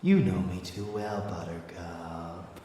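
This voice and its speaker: Slow, low-voiced rebellious vampire lover played by a man. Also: fairly even intonation, mellow, very echoey, far from the mic, a little hiss or hum. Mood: musing. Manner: slow, teasing, boastful, doting. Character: rebellious, devoted male vampire lover with menace. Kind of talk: intimate ASMR audio roleplay.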